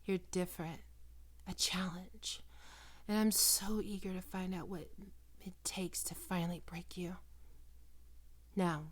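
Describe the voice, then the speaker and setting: A woman playing a possessive, predatory female vampire in an ASMR roleplay, coaxing and cooing in a breathy voice that often drops into whispers. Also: lowish voice, steady tone. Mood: eager, predatory. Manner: slow, cooing, condescending endearments.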